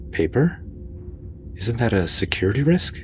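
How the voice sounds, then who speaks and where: A man's voice: mid-range voice, very animated, moderate pace, muffled recording, slight background noise, pitched above the performer's own voice, close to an everyday voice. A man voicing a young female junior navy ensign in audio fiction, earnest and deferential. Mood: skeptical. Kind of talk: audio fiction character dialogue.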